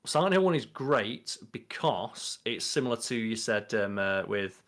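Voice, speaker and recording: A man's voice: on the low side, lively intonation, unhurried, fairly bright tone. A young man, a podcast co-host, chatting casually and explaining in a rambling way from a bedroom on a video call. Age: young adult. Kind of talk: casual movie chat.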